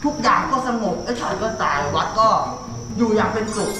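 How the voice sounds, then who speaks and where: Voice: mid-range voice, expressive, brisk. A woman hosting a challenge video in casual, colloquial talk, improvising a story with acted-out sound effects. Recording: roomy echo, music underneath.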